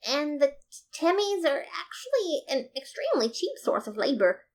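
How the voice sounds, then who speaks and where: A woman's voice: very animated, normal speed. A woman voicing a nervous, stammering female dinosaur scientist in a storybook reading. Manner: stuttering, high-strung, flustered.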